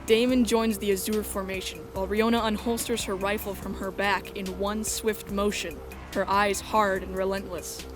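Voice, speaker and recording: Female narrator for a first-person action story, medium pitch, scripted dramatic read with music playing behind. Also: little pitch movement, normal speed, hardly any pauses.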